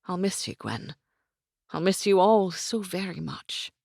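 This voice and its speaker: A woman voicing a brooding Highland warrior, speaking in a grave, hushed way. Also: unhurried, gravelly, dry recording, studio quality, exaggerated voice. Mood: sorrowful.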